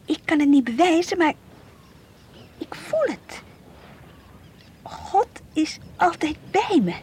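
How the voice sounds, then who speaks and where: A high, girlish voice of a devout Dutch teenager, earnest and dreamy with a stubborn streak. She speaks as in a radio drama diary, with a Netherlandic accent, over faint recording noise. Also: sing-song, slow, darkish tone, heavily acted. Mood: earnest, sure. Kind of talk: Dutch radio play acting, diary monologue and dialogue. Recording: faintly hissy old radio play recording.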